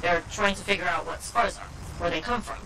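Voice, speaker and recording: An actress playing an earnest, socially awkward military officer in a video game, businesslike and a little stiff. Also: female voice, on the low side, a little fast, background noise, lightly acted. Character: awkward, earnest, brisk military Sentinel woman relaying missions.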